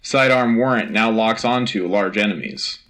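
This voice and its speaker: A man playing an earnest, patriotic radio anchor reading military news in a crisp, urgent, official manner. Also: lowish voice, moderate pace, fairly bright tone, close to an everyday voice. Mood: brisk, official. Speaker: radio host. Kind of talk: scripted military news bulletin.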